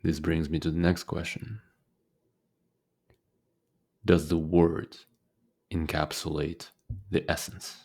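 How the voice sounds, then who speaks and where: A man leading a guided meditation as a teacher, speaking calmly and deliberately with frequent pauses. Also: very deep voice, slightly rough.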